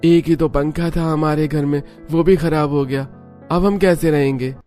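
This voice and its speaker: Man playing a higher-voiced, earnest young husband. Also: fairly even intonation, moderate pace, darkish tone, faint music behind, close to an everyday voice. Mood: worried.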